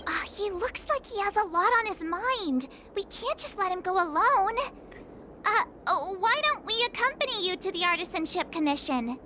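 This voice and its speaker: A woman's voice: extremely high pitch, lively intonation, normal speed, bright, forceful tone, a little hiss or hum, heavily acted. An actress playing a brash, tactless young girl who talks bluntly with lots of hesitant fillers. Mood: eager. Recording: game dialogue over music. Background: game music.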